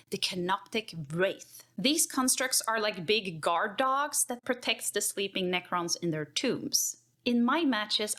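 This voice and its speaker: A woman's voice: mid-range voice, a bit bright, lightly acted. A woman playing a cheerful, geeky techpriest who chats informally while narrating card game lore in character.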